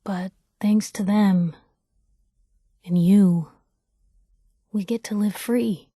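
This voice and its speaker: A spirited young woman reporter from a wasteland video game. She is sardonic and chatty, with expressive, wry lines at a middling female pitch. The sound is dry and close, with no room to it. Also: drawn-out words, slightly rough, no room sound. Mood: earnest, wry. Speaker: video game companion character. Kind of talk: acted game dialogue lines.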